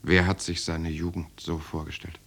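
Deep-voiced reflective narrator. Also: male voice, little pitch movement, moderate pace, vocal fry, a bit bright, breathy edge, exaggerated voice. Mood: weary, troubled. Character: reflective male narrator recalling his restless youth. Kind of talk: dramatic first-person narration, German radio play.

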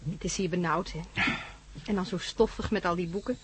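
A woman acting in a radio drama as a polite, solicitous librarian with a gentle, helpful manner. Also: fairly low pitch, fairly quick, slight background noise, close to an everyday voice. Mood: concerned.

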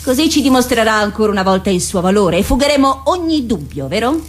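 Guerriera dura e sarcastica. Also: female voice, a little high, sing-song, quick pace. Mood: mocking. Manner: challenging, impatient, mocking rhetorical questions. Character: hard, pragmatic, sarcastic woman comrade-in-arms of a captain. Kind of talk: theatrical radio drama acting.

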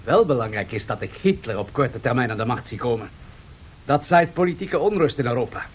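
An actor playing a confident, shrewd match tycoon in a radio drama, speaking politely and in a businesslike way. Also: male voice, medium pitch, animated, fairly quick, slightly rough. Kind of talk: 1970s radio drama acting.

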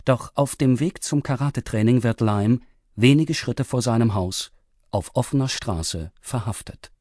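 A man reading a scripted summary as announcer, measured and matter-of-fact. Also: medium pitch, darkish tone, no room sound, clean studio sound. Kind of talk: scripted narration.

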